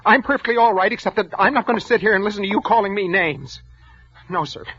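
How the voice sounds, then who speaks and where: An actor playing a meek, touchy, hesitant bookkeeper in an old radio drama, recorded with muffled vintage sound. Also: male voice, sits high, quick pace, sharp tone, breathy edge, dry recording, exaggerated voice. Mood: agitated, defiant. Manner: hesitant, stammering, defensive. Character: meek, jittery, touchy male bookkeeper, henpecked daydreamer. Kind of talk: 1940s radio drama acting with first-person narration.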